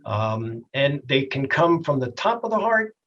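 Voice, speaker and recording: A middle-aged or older man with a slightly husky voice, a doctor explaining things in a plainspoken, folksy way in a TV health interview. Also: medium pitch, big pitch swings, a little slow, fairly bright tone, dull audio, slight background noise. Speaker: doctor, expert guest.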